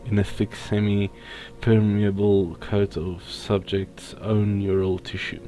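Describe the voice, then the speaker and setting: A man's voice: fairly low pitch, flat delivery, slow, dark tone. A man giving casual let's play commentary, reading text aloud and stumbling over technical words. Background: faint video game music.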